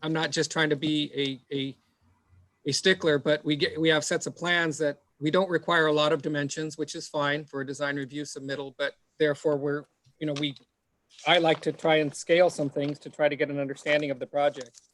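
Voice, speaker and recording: A man serving as planning commissioner, giving a halting, apologetic comment full of repeated words at a public meeting. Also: a little high, little pitch movement, fairly bright tone, slight background noise.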